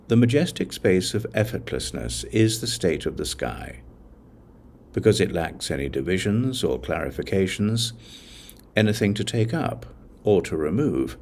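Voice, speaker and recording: A man reads scripture as a scripted audiobook narration, in a measured, solemn reciting manner. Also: low-pitched, a little mellow, a little hiss or hum. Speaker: audiobook reader.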